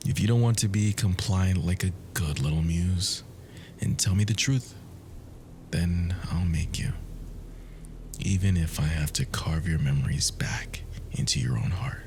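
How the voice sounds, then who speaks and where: A man playing an obsessive, wounded and cruel immortal lover in an ASMR roleplay, breathy and half-whispered, with menacing endearments. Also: low voice, measured pace, background noise. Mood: coldly threatening. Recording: music bed behind the voice. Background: music.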